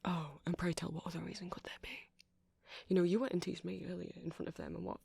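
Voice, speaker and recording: A woman's voice: on the low side, level tone, fast talker, creaky, a little mellow, breathy edge, full character voice. A woman playing an awkward, flustered male vampire who runs a music shop, stammering and rambling in an intimate ASMR roleplay. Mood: teasing. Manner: hesitant, stammering, rambling, apologetic.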